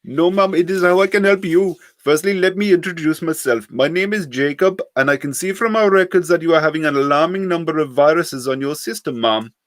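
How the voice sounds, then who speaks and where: A storybook phone scammer, played by a man, speaks in a raised pitch with a heavy foreign accent. He is scripted, courteous to excess and keeps pressing earnestly. The sound is dry. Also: brisk, hardly any pauses, no echo, lightly acted. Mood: eagerly polite. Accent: heavy put-on foreign accent. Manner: over-polite, scripted, insistent.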